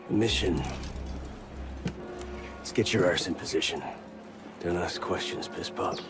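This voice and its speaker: Deep, gruff, cynical veteran assassin. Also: male voice, a little slow, music in the background. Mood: brusque. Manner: curt, world-weary.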